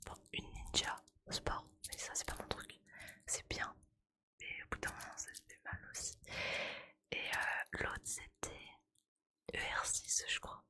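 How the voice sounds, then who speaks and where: A woman's voice: measured pace, pauses often, pushed and bright. A woman whispering breathily in a casual, playful ASMR chat, with slangy, colloquial speech as she streams to her viewers.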